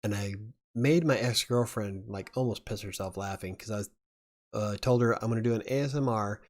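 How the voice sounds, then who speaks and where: A man with a fairly low voice hosts a loose live chat. He rambles, laughs between thoughts and speaks. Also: a little fast, darkish tone. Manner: rambling, chuckling often. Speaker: livestream host.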